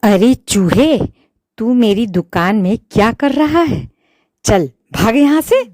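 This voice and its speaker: A brusque, dismissive male shopkeeper, as a woman puts him on for a storybook, loud and quick when he shoos the mouse away. The sound is very dry and close. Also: on the low side, no echo. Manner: brusque shooing, turns fearful and pleading.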